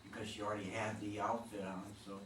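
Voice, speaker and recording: A man's voice: fairly low pitch, flat delivery, fast, a crowd in the background. A man explaining his play to a group, patiently walking through what happens in it. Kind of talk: post-reading discussion. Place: theatre room. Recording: roomy, distant, noisy room recording.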